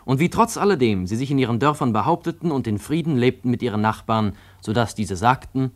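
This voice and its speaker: An actor playing a grave chronicler, delivering measured, literary narration in a radio drama. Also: male voice, mid-range voice, animated, natural voice.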